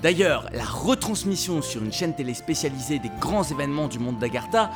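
An actor playing an excitable, gushing male presenter of a video game radio show in an audio drama. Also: on the high side, fairly quick, over music, lightly acted. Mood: curious, admiring.

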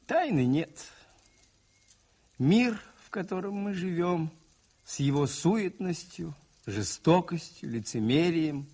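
A gentle priest-detective in a radio drama. His voice sits fairly high and swoops expressively as he moralizes earnestly, with a husky grain. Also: exaggerated voice. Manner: earnest, moralizing, deliberate phrasing with rhetorical repetition. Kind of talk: 1980s radio play acting.

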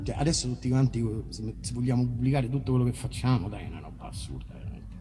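A man's voice: mid-range voice, animated, fast talker, dark tone, a little hiss or hum. A man chatting casually between songs at a live concert, rambling and self-deprecating, with colloquial language and swearing. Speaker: musician performing live. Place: live outdoor festival concert.

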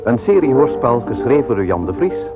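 A man's voice: medium pitch, very animated, normal speed. A man announcing a radio serial in a scripted introduction. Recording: narrow vintage radio sound, loud music. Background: loud music.

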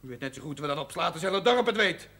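Casting: defiant young village son, high-pitched, widely swinging voice, blunt and colloquial, TV period drama. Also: male voice, lively intonation, quick pace. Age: young adult. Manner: blunt, colloquial, sharp-tongued. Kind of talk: television period drama acting.

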